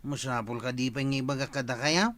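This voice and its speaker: A man's voice: medium pitch, steady tone, a little fast, fairly bright tone, no room sound, close to an everyday voice. An actor playing a sly, lovesick village man with a Filipino Ilocano accent, pushy and pleading, drawling and hesitating in an audio drama.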